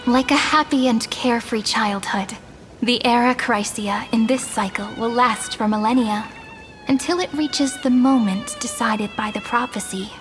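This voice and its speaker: Casting: a woman voicing a gentle game prophetess, soft and reverent, somewhat high, with score underneath. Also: steady tone, normal speed, close to an everyday voice. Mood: solemn. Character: gentle wistful young woman prophesying a new world. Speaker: game character. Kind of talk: game cutscene voice acting. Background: orchestral music.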